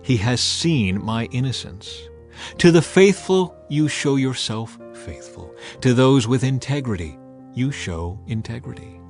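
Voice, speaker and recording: A man narrates an audio Bible in a solemn, measured voice of medium pitch. His delivery is scripted and dramatized, with firm emphasis on the phrases. Music plays underneath him. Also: expressive, darkish tone. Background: background music.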